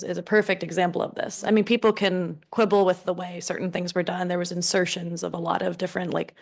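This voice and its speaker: American academic woman, panel Q&A, thinks aloud with fillers, mid pitch. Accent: American English. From United States. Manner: thoughtful, frequent fillers like "like" and "right". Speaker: conference panelist. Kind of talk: answering audience question at academic conference. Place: conference room.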